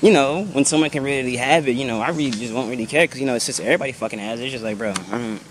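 A man's voice: mid-range voice, a little hiss or hum. A young man in his twenties with a sick, slightly off voice, ranting casually to his vlog camera with lots of "you know" and "bro" and free swearing, filmed outdoors with a handheld camera. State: sick, voice a bit off. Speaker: vlogger. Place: outdoors under trees by a brick building. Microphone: handheld camera held low at arm's length.

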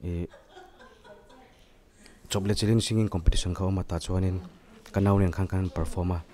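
A man's voice: low-pitched, steady tone, a little fast, warm tone. A man, giving casual interview answers as a contestant. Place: indoor lounge. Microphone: handheld microphone held by host.

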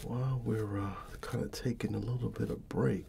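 A middle-aged American man doing a soft-spoken ASMR barber roleplay, breathy and often near a whisper, with an unhurried, halting, fatherly manner. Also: medium pitch, steady tone, a little hiss or hum. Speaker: ASMR performer playing a barber.

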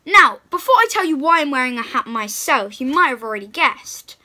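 A young girl hosts a read-aloud for small children. Her voice is high and clearly bright. She addresses her listeners in an excited, encouraging way, as if guiding them through a picture book at home. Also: brisk. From England. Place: small room at home, indoors.

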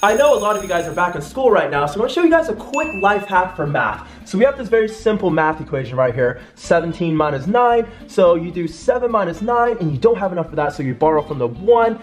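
Excitable young man hosting a vlog. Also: high-pitched, fairly quick, hardly any pauses. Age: young adult. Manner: excitable, yelps and laughs often. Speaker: host. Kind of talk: casual vlog chat. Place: large home kitchen indoors. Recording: echoey room with background music.

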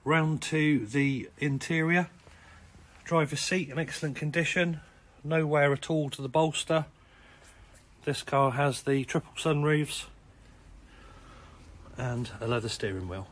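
A man with a croaky, hoarse voice and a British accent, casually talking through a car's features while filming in an indoor showroom. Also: on the high side, unhurried, pauses often. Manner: relaxed, chatty listing of features. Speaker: car salesman. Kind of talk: walkaround car sales video. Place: indoor car showroom with tiled floor.

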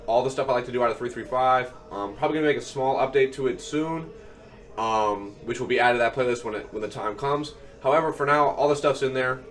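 A man's voice: mid-range voice, fairly bright tone. Nasal young man breaking down his game. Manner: upbeat, explanatory, many fillers. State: slightly nasal from a cold. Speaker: gaming coach and YouTuber. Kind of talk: casual gameplay commentary. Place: small room at home.

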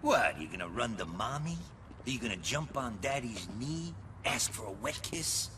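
An actor playing a cynical, mocking corrupt police detective in a video game cutscene, with a sarcastic, condescending, wisecracking way of talking. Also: male voice, mid-range voice, sing-song, normal speed, very noisy, natural voice. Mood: scornful mockery.

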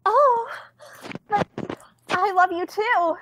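Woman as a high-voiced lovestruck cartoon duck girl. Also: very slow, fairly bright tone, a little hiss or hum, full character voice, rough recording. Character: lovestruck, fussy young female duck in vampire romance parody. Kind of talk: live comic dub reading.

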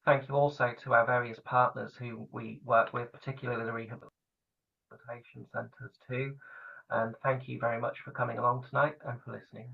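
Gravelly man on a distant call. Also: medium pitch, flat delivery, brisk. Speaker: seal rescue group presenter. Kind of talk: online meeting presentation. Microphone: video call. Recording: distant, dull lo-fi call audio.

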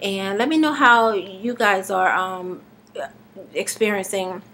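A woman in her thirties, slightly hoarse and congested, talking haltingly in a casual video diary with many fillers and restarts, recorded on a webcam in her living room. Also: medium pitch, a bit bright. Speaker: vlogger. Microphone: webcam at arm's length.

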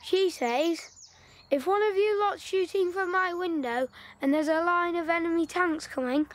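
A woman playing a lively, knowing wartime schoolboy in an audio drama. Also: extremely high pitch, normal speed. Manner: chatty, excitable storytelling.

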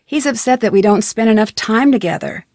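An American actress playing a curious, polite, plucky young woman detective in a video game, speaking scripted lines in a clear, earnest way. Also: a little high, a little fast, darkish tone, no echo, studio quality, close to an everyday voice. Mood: curious, friendly.

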